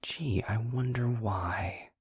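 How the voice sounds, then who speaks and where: A man playing a sarcastic, defiant male vampire outcast in an audio roleplay, grudging and belligerent, with a very raspy, half-whispered voice. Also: lowish voice, takes it slowly, no echo, voice pushed up, full character voice. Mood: sarcastic, hostile. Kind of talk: acted fantasy audio roleplay.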